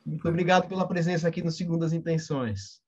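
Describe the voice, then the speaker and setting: A man's voice: on the high side, fast, a little mellow, slightly rough. A man hosting a casual interview at a library event, chatty, with many restarts. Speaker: host. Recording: muffled lo-fi audio.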